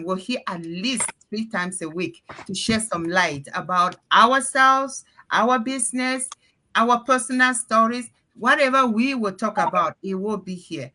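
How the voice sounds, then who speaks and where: A woman from Cameroon hosting a live panel stream, chatting in an upbeat, energetic way. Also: medium pitch, low-quality recording. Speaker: host of a live panel show. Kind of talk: casual live-stream chat.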